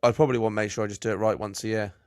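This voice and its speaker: Quick-talking British man, casual podcast co-host, profane, dead dry recording. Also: fairly low pitch, flat delivery, dry recording. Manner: quick, blunt, swears, asks back with "right?". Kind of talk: casual business chat.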